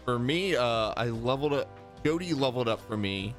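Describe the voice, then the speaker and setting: A man chatting casually about a game in a hesitant, halting way. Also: medium pitch, lively intonation, a bit bright, faint music behind. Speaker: player.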